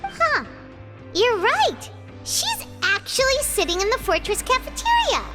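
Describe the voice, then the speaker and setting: An extremely high, squeaky little fairy companion from a video game. The childlike voice bounces up and down with excitable, exclamatory delivery. Loud music plays behind it. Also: female voice, unhurried, full character voice. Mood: curious. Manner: speaks of herself in third person, exclamatory. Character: tiny childlike floating fairy companion, excitable, food-loving. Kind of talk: video game character acting.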